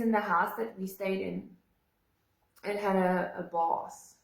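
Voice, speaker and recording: A young woman with a South African accent and Afrikaans as her first language speaks earnestly about something personal, her voice catching as she restarts sentences. She is recorded on a phone in a room with a noticeable echo. Her pitch sits in an ordinary middle range for a woman. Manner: earnest, emotional, with frequent fillers and restarts. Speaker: vlogger. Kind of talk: personal storytelling to camera.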